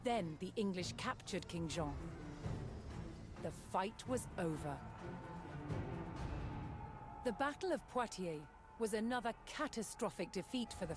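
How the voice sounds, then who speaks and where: A woman narrates a scripted history documentary over prominent, loud music. Her mid-pitched voice carries a measured, dramatic storytelling delivery. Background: loud background music.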